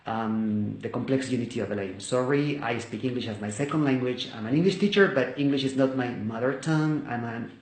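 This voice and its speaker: A man whose first language is Spanish speaks casually to the camera about his study videos. His delivery is halting, full of fillers and restarts, at an ordinary mid pitch. The room around him is echoey. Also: a little mellow. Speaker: Bible study teacher.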